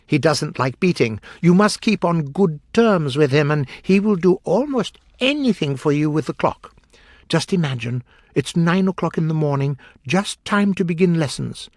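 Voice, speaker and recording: A man's voice: on the high side, animated, moderate pace, dry recording, clean studio sound, voice pushed up. A man voicing an eccentric, mournful male hatter who talks down to people with heavy emphasis in a storybook. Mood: contemptuous.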